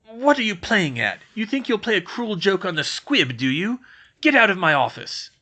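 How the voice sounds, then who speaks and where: A sour old caretaker, as a man puts him on, wheezing through every line. He snaps at people and stammers when caught off guard. His voice is sharp and swoops widely in pitch. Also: very animated, unhurried, heavily acted. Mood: hostile. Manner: snapping, stammering when flustered. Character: bitter old male caretaker, sour and suspicious.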